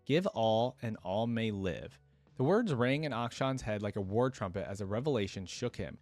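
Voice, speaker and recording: A man's voice: lowish voice, lively intonation, moderate pace, darkish tone, dry recording, faint music behind. A man giving casual, enthusiastic, slangy reaction commentary and reading a story aloud as host.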